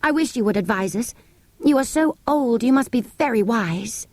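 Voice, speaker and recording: A woman's voice: a little high, lively intonation, normal speed, no echo, voice pushed up. A woman voicing an earnest, polite young girl in a storybook reading, pleading and apologetic. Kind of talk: audiobook character voice.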